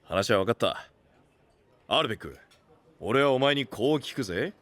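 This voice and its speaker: A man playing a blunt, big-hearted young knight with rough, punchy speech in an anime voice drama. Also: medium pitch, animated, normal speed, fairly bright tone, soft background music, lightly acted. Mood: blunt, encouraging. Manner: rough, brash, punchy delivery.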